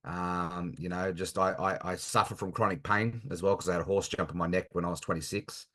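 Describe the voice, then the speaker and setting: An Australian man in his thirties with a very deep, low voice. He talks earnestly and haltingly, pausing often on fillers as he answers as a guest. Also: fairly even intonation, fairly bright tone. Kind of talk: casual interview chat.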